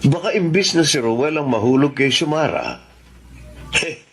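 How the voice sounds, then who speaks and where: A man's voice: fairly high pitch, animated, measured pace. An actor playing a skeptical man in a political plot in a Tagalog radio drama, with a questioning manner. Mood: doubtful.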